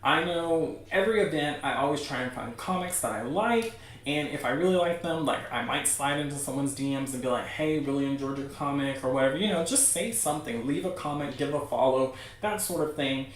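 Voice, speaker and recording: A man hosts a livestream in a casual, encouraging, conversational style. His voice sits a little high for a man. The room is very echoey and he sounds set back from the mic. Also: fairly quick, few pauses, far from the mic. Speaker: livestream host. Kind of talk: casual chat to a livestream audience.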